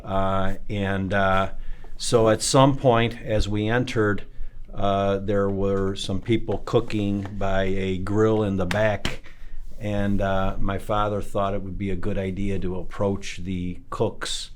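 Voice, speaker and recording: A man's voice: low-pitched, flat delivery, takes it slowly. A man telling a funny family anecdote in an interview, with many fillers. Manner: amused storytelling with fillers. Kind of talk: casual anecdote.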